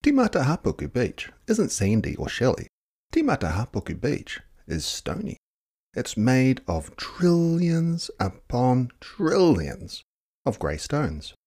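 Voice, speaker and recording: Very animated, husky male storyteller. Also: medium pitch. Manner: dramatic, with hushed, drawn-out words. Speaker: picture book reader. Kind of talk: expressive children's story narration.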